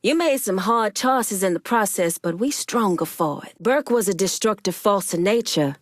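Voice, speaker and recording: A woman playing a tough, proud crime boss in a video game, with an American accent and a measured, pointed, streetwise delivery. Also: medium pitch, expressive, no echo, studio quality, natural voice. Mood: calm, wry. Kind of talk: video game character dialogue.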